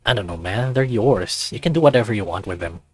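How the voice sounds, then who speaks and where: A man's voice: fairly low pitch, lively intonation, brisk, breathy edge, dry recording. A man voicing a goofy, laid-back teenage male furry best friend with casual, hesitant slacker phrasing in a visual novel. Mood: laid-back, joking.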